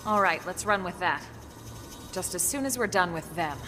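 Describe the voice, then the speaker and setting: A woman's voice: on the low side, expressive, normal speed, heavy background noise, close to an everyday voice. A woman playing a dryly wry, decisive squad leader in a video game, speaking with controlled composure. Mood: composed, decisive. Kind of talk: video game cutscene acting.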